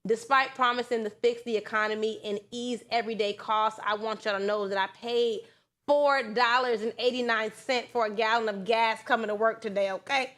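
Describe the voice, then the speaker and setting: A woman's voice: on the high side, fairly even intonation, normal speed, a bit bright. A woman hosting a talk show, giving conversational news commentary in a sassy, emphatic, colloquial way.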